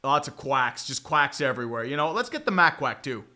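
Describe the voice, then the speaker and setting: A man's voice: mid-range voice, big pitch swings, fairly quick, bright, forceful tone. A man giving casual, profane live fan commentary on a football game, calling plays and rambling with exasperated restarts. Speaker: fan play-by-play streamer.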